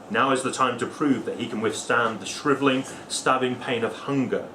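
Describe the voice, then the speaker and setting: Man at a book launch, hesitant and conversational, reading aloud in an echoey venue with background chatter. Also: mid-range voice. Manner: conversational, hesitant, many fillers and restarts. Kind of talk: reading essay excerpts aloud with commentary. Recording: echoey room with background noise.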